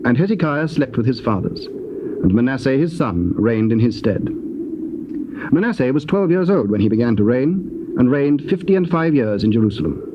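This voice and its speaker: A male narrator reads scripture solemnly and formally at a medium pitch. His measured delivery sits on a dull old cassette recording with clearly audible noise.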